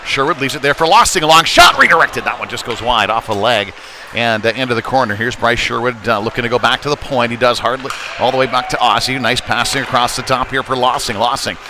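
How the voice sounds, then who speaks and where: A man's voice: mid-range voice, fast talker. Male voice, live hockey commentary, folksy and hesitant phrasing, noisy indoor arena broadcast. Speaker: play-by-play hockey announcer. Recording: live rink broadcast with loud background noise. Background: loud arena ambience.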